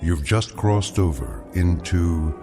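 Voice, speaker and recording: An actor narrating an eerie radio drama anthology in a measured, ominous way. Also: male voice, low voice. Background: music.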